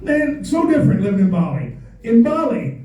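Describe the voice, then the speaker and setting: A man's voice: very high-pitched, very animated, moderate pace, music in the background. A middle-aged American man performing a playful stand-up comedy set on a club stage, teasing the crowd with mock exasperation. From United States. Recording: echoey room, voice a bit distant. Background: audience laughter.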